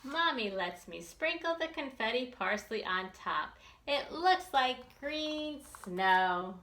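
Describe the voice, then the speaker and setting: Woman, children's storytime reader, unhurried pace, expressive delivery, careful enunciation, roomy echo. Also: sits high, a little hiss or hum. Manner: expressive, deliberate enunciation for young listeners. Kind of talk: read-aloud picture book storytime for young children.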